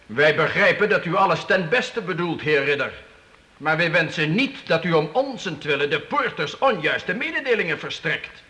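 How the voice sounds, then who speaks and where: A commanding medieval count holding court. His voice is fairly high and bright, swinging widely as he gives stately, formal judgements in a radio drama with faint background noise. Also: animated, moderate pace, long reverb tail. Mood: measured, conciliatory. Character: imperious but fair-minded medieval count holding court. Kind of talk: Dutch historical radio play acting. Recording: faintly noisy old radio play.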